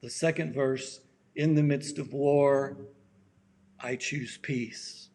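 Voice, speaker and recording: A raspy-voiced man preaches at a very unhurried pace, with long pauses between his phrases. His delivery is gentle and halting, and his throat sounds worn by allergies. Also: medium pitch, steady tone. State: allergies acting up. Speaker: pastor. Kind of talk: slow reflective sermon.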